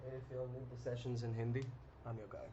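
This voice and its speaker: A young man hosting a live trading stream, chatting casually with viewers in a relaxed, rambling way with many ums and restarts. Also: mid-range voice, brisk. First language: English. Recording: muffled lo-fi audio with clear noise.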